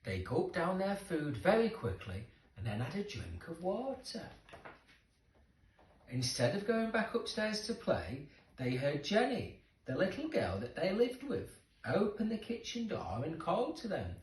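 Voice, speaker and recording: A man's voice: sits high, sing-song, a little slow. A man narrating a children's story expressively, with dramatic emphasis for young listeners. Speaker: storybook reader. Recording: roomy echo, distant microphone.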